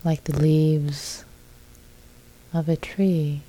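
Very slow, mellow, low-voiced woman guiding meditation. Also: rough recording. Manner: calm, gentle, soft-spoken. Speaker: meditation teacher. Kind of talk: guided meditation with long pauses.

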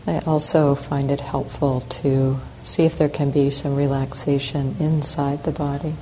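Woman leading a meditation, really low soft-edged mellow voice, calm n slow phrases, noisy background hiss. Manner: calm, unhurried, gently instructing. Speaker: meditation teacher. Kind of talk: guided meditation, slow spoken instructions with long pauses. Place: meditation center hall. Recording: clear steady background noise.

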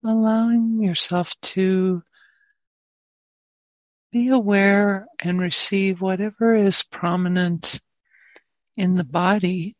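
A woman teaching meditation, gently guiding listeners with long, unhurried pauses. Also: mid-range voice, steady tone, no room sound. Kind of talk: guided meditation.

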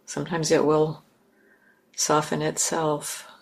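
A woman leading a guided meditation as a teacher, speaking in short, measured phrases with pauses between them. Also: low-pitched, completely flat delivery, gravelly, breathy edge, low-quality recording.

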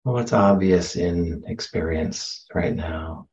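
Man, low pitch, very slow pace, gentle meditation teacher, long pauses, slightly muffled sound. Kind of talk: guided meditation. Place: meditation center.

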